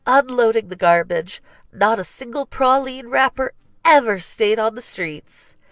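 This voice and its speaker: A woman narrating a children's storybook in an expressive, deliberate read-aloud style. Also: a little high, no room sound. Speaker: storybook reader.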